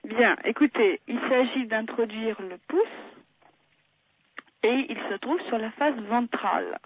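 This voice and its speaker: A woman's voice: a little high, lively intonation, a little slow, husky, no echo. A woman doctor explaining things on the telephone in a matter-of-fact, courteous and didactic manner. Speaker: doctor taking a phone call. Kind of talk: explanatory phone conversation. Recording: tinny narrow phone line.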